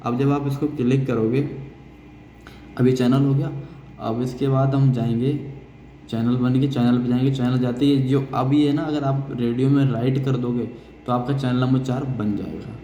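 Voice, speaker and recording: A man's voice: mid-range voice, steady tone, normal speed, warm tone. Indian man teaching in an echoey room. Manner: step-by-step explaining, repeats key phrases. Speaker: technical instructor. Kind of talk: hands-on spoken software tutorial. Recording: echoey reverberant room, noisy, distant sound.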